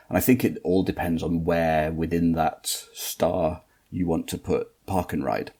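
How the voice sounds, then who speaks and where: A man from Manchester with a northern English accent, explaining transport policy as a podcast guest, steady and explanatory with frequent fillers. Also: low-pitched, vocal fry, breathy edge. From Manchester, northern England.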